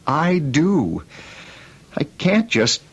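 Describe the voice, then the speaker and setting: Radio drama casting: anguished husband, husky voice, halting delivery, muffled vintage recording. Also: male voice, on the high side, wildly swooping pitch, heavily acted. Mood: anguished, pleading. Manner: halting, stammering, anxious. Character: distraught husband fearing his missing wife was killed. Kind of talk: old-time radio drama acting.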